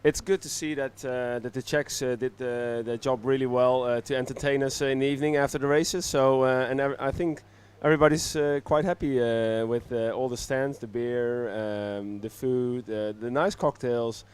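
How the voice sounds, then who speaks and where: Male interview guest. Smooth, clean mid-range voice, relaxed and hesitant, builds sentences in small pieces. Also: medium pitch, normal speed, hardly any pauses. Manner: hesitant, piecemeal phrasing, easygoing. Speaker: studio guest. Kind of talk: casual live interview chat.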